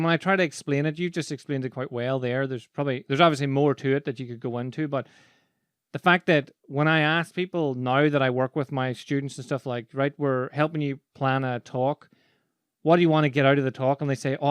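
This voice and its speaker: Irish man with a smooth, dry voice. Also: fairly high pitch, fast talker, no room sound. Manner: animated storyteller, laughs while talking. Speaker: podcast host and speaking coach. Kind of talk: casual conversation.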